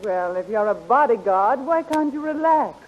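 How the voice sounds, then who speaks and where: A woman's voice: a little high, animated, moderate pace, natural voice. A woman playing an imperious, coaxing captive queen in 1930s radio acting style, on a muffled, noisy old recording. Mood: coaxing, taunting.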